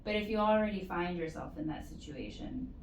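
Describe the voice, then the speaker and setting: Woman, slightly low voice, measured advisory interview talk, distant mic, roomy echo. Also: slight background noise. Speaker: interview guest, university postdoctoral support staff. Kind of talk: conversational interview answers, giving advice.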